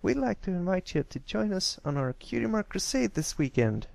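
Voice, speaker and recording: Friendly young pony filly, male narrator acting, high, animated, enthusiastic and persuasive, for cartoon storybook. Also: moderate pace, gravelly, dry recording, full character voice. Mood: eager.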